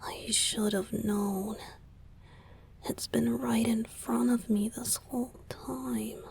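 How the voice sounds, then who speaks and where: Casting: woman as young male idol boyfriend for romantic ASMR audio drama; tender, wistful, slow, breathy whispering with creaky edges. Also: fairly high pitch, steady tone, slight background noise, heavily acted. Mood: apologetic, tender. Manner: soft, hesitant, pleading, confiding.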